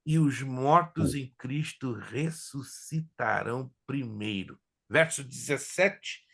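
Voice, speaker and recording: A man teaching a theology class as a pastor, whose first languages are Hebrew and Spanish, lecturing in an animated way and joking with his students. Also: mid-range voice, slow pace, vocal fry. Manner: animated, jokes with students, asks if they follow.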